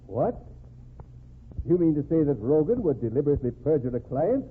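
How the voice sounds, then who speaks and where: An actor playing a stern, righteous American governor in an old-time radio drama, speaking formally and reproachfully. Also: male voice, fairly high pitch, sing-song, a little fast, narrow, tinny audio. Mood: stern, indignant. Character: stern, indignant male state governor of principle. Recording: thin, band-limited, noisy old radio recording.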